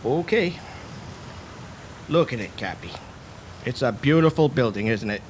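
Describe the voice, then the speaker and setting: An actor playing an easily spooked male lighthouse keeper's assistant in a radio drama, with a hesitant, halting manner. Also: mid-range voice, expressive, lightly acted, low-quality recording. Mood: calm, admiring. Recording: faint noise on old cassette.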